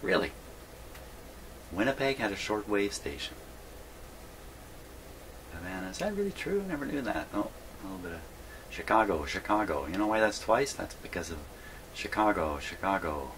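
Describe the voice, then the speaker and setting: A man with a low-pitched voice talks casually through hands-on work in a home workshop. He rambles and muses to himself as he goes, with clear noise behind him on the recording. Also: very animated, moderate pace, long pauses, a bit bright, poor audio. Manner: rambling, musing aloud to himself. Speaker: host of a radio repair video. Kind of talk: casual hands-on workbench narration.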